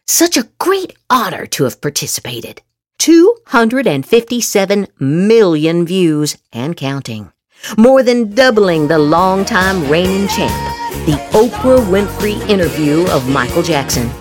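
A woman's voice: on the low side, very animated, measured pace, a little mellow, faint music behind. A woman podcast host doing a theatrical dramatic reading of social media posts, with exaggerated, emphatic inflection.

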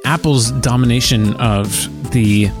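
A man co-hosting a podcast, chatting casually in a halting, self-correcting way. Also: medium pitch, over loud music. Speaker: podcast co-host.

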